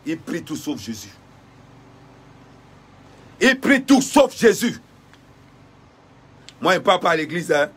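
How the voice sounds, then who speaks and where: A man with a high, husky voice delivers a fiery denunciation. He hammers the same phrases over and over in a preaching rhythm, breaking into shouts. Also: big pitch swings, takes it slowly, long pauses. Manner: repetitive preacher-like cadence with shouted bursts. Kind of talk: impassioned denunciation.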